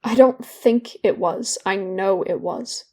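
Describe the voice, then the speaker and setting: An actress as a young woman in therapy, weighed down by guilt and edged with bitter wit. Her voice is soft-edged and dark, at an ordinary female pitch. She speaks in a halting, candid way, as in a naturalistic audio play. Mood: guilty, bitter. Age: young adult. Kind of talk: naturalistic audio drama dialogue.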